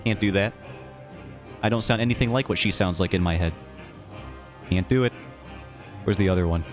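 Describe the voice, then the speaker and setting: A man streaming while he draws his webcomic, who rambles in a casual, self-mocking and jokey way. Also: lowish voice. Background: faint background music.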